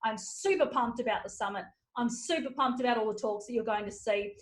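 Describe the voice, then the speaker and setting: A woman podcast host giving an upbeat motivational keynote talk, walking through numbered steps, her voice slightly hoarse. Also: on the high side, brisk. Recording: roomy echo.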